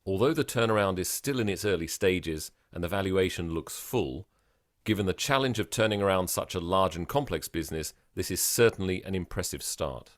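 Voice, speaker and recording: A man reading a scripted model text aloud fluently, measured, with pauses at the punctuation. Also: sits low, animated, no room sound, studio quality.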